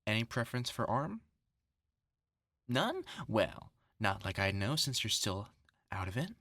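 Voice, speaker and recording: A man's voice: lowish voice, big pitch swings, a little slow, sharp tone, no echo, clean studio sound, full character voice. A man acting a vengeful, sarcastic doctor in a horror audio roleplay, taunting with mock care and swearing. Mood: mock-caring, mocking. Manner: taunting, mock-caring, swinging into angry swearing. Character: vengeful, sarcastic male doctor turned on his former research partner.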